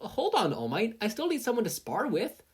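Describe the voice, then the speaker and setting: Someone reading as an eager teen hero boy, excitable, swoopy pitch, a bit husky. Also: normal speed, exaggerated voice. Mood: excited.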